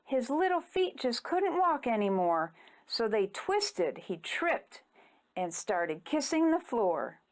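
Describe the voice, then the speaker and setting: A woman reading a rhyming children's story aloud in an expressive, deliberate, theatrical way. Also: a little high. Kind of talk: rhyming picture-book read-aloud.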